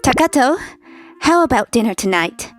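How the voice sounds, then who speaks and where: A woman's voice: fairly high pitch, sing-song, moderate pace, soft background music. A woman playing a polite, persistent young office worker with a crush in a scripted manga dub. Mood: eager.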